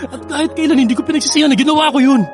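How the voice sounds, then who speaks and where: A guilt-ridden young Filipino husband in a radio drama. His voice is high for a man and fast, pleading and full of emotion, with faint music underneath. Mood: anguished, defensive. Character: grieving, guilt-ridden estranged husband who pleads for forgiveness.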